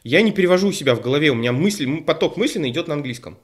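A man's voice: a little high, animated, rapid-fire. A man co-hosting an online show, chatting casually and rambling with many fillers and restarts over a call microphone. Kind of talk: casual panel discussion.

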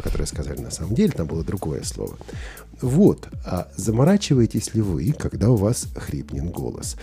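A man hosting a live studio talk show, lively and joking with his co-host. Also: fairly low pitch, creaky.

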